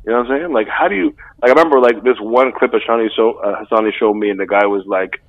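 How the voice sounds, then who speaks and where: Brief: deep-voiced man, relaxed slangy co-host, thin lo-fi line. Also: fast talker, no echo. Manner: laid-back slangy talk, laughs often. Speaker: podcast co-host. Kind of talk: casual chat. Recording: dry, tinny phone-like sound.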